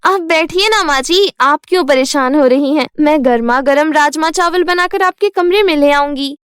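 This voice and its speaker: Woman playing a high-voiced, sly young daughter-in-law. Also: normal speed, fairly bright tone, no echo, studio quality. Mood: nervous, pleading. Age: young adult.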